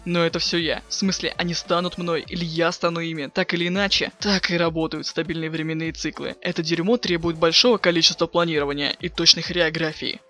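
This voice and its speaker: A sardonic, slangy teenage boy voiced by a man from a webcomic dub. He rattles lines off quickly in a highish, sharp voice. He is impatient and too cool to care. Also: level tone, soft background music. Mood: matter-of-fact. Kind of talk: dramatic reading of a webcomic chat.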